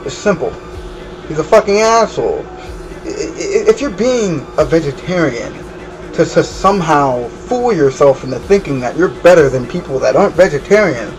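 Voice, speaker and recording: A man's voice: a little high, rough recording. A man ranting with heavy swearing and stumbling repeated words. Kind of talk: ranting to camera.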